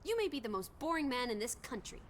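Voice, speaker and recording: A woman's voice: a little high, very animated, fast talker, slight background noise. An actress playing a playful, teasing young American woman from the 1920s in a video game, full of jaunty old-fashioned slang.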